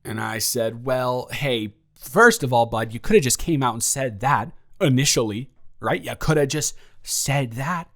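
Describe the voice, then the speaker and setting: A man's voice: mid-range voice, gravelly, full character voice. Man acting as flustered, stammering goofball roommate. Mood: animated, flustered. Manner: halting, stammering, animated, jokey. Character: flustered goofball roommate confessing love to his friend. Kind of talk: improvised audio roleplay, rambling casual storytelling.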